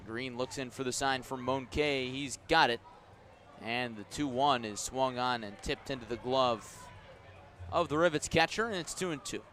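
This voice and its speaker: A man doing live baseball play-by-play as a radio announcer, giving measured, detailed commentary that rises on each pitch. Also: a bit bright, slight background noise.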